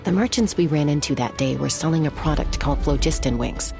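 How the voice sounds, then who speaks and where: A composed young warrior with a low voice. She speaks in a measured, serious, matter-of-fact way as a video game character, over faint music. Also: expressive, creaky, warm tone. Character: Chaska, cool, serious young woman warrior.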